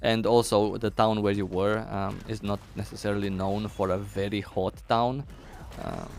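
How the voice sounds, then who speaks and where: A man's voice: lowish voice, normal speed, gravelly, slight background noise. A young man with a Romanian accent chatting casually with viewers on a live game stream, thinking aloud as he answers chat.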